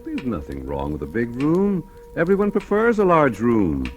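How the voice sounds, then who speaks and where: A man's voice: mid-range voice, huge pitch swings, normal speed. An older man acting a folksy, patronizing guardian in a 1940s radio drama, hesitant and evasive with a hint of menace. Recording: vintage 1940s radio recording, faint music.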